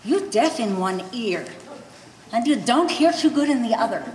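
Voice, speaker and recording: A woman's voice: a little high, lively intonation, normal speed, darkish tone, natural voice. A woman playing a blunt eighty-year-old Polish immigrant mother who speaks broken English, in a staged play reading. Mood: fretful, insistent. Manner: broken English with dropped verbs and articles. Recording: echoey hall, faint background noise.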